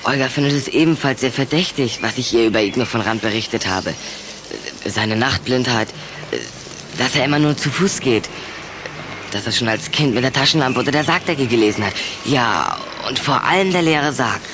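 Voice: mid-range voice, lively intonation, moderate pace, background noise, close to an everyday voice. A performer playing a nervous, evasive schoolboy in a German children's radio play, with a hesitant, earnest delivery. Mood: sly, earnest.

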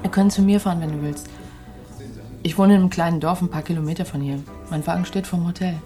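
An actress playing a cool, slightly disillusioned nurse in intimate radio drama dialogue, terse and understated. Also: female voice, fairly low pitch, fairly even intonation, fast talker, warm tone, soft background music, lightly acted. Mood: forward.

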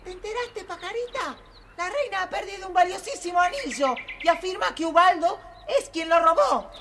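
A woman's voice: extremely high pitch, animated, normal speed. A woman voicing a gossiping little bird in a children's story. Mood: gossipy. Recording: noisy, birdsong effects behind.

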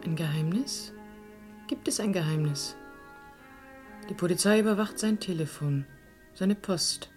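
Actress as low-voiced, sceptical woman under suspicion. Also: unhurried, long pauses, a little mellow, soft background music, natural voice. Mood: brooding. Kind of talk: German radio crime drama acting.

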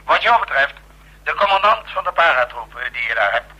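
Brusque commanding president, very sharp. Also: male voice, fairly high pitch, very animated, fairly quick, husky, exaggerated voice, rough recording. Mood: satisfied, formal. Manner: brusque, barking orders, grumbling asides. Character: commanding, brusque male president giving night orders. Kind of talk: Dutch radio drama acting.

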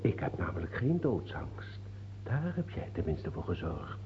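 An aged, imprisoned bishop in a radio play, melancholic and mocking. His low, gravelly voice ranges widely between declamation and murmur. The recording is muffled, with hiss and hum. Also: sing-song, a little slow, heavily acted. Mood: scornful. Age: older. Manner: declamatory, sardonic, sinking into breathy murmurs. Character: toothless old imprisoned bishop, mocking, melancholic, morbidly ecstatic. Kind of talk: theatrical radio play acting.

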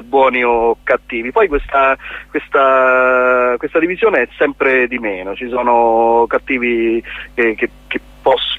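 A man answering casually as a guest in a radio interview, speaking in a halting, tongue-in-cheek way. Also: medium pitch, no echo. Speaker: guest, theatre maker presenting a show. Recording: thin phone-like line on radio.

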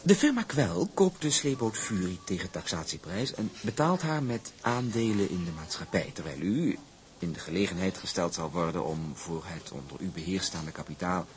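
A smug young heir to a shipping business in a radio play. His low voice swoops expressively through formal, condescending phrases. He is pompous and oily in his politeness. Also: expressive, normal speed. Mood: coolly persuasive. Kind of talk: Dutch radio drama acting.

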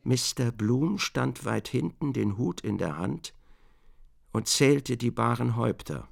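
An actor playing the sober narrator of a radio drama, reading literary prose in a measured, deliberate, gravelly voice. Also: male voice, medium pitch, lively intonation, soft background music, heavily acted.

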